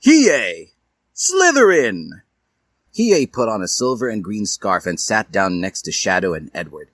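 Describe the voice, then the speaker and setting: Male storyteller, husky and animated, mock-earnest theatrical delivery of amateur fiction, close dry sound. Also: sits low, moderate pace, dry recording. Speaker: reader of fan fiction. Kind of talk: theatrical storybook narration read aloud.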